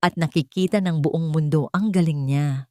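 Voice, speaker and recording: An earnest, protesting son of a wealthy family, read by a woman with a Filipino accent. The voice is low and dryly recorded, in audiobook drama style. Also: moderate pace, warm tone, no room sound, studio quality, close to an everyday voice. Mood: shocked protest. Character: principled adult son of a rich family, shocked.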